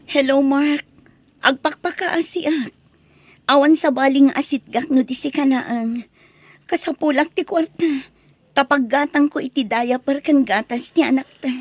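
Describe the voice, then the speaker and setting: A woman playing a humble, pleading young single mother in an Ilocano audio drama, with an Ilocano accent and a breathy, husky voice. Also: high voice, unhurried, no echo. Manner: pleading, emotional.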